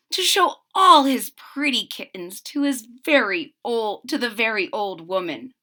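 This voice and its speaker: Woman, storybook read-aloud, bright tone, lively intonation, unhurried, explanatory asides for children. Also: fairly high pitch. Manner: expressive, drawn-out emphasis, explains to young listeners. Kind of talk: animated picture-book read-aloud with chatty asides.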